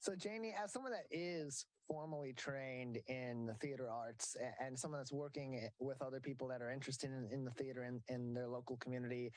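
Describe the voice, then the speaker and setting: A man's voice: mid-range voice, brisk, hardly any pauses, a little mellow, dry recording, low-quality recording. A man hosting an interview, asking long, winding questions with some fillers. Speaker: host.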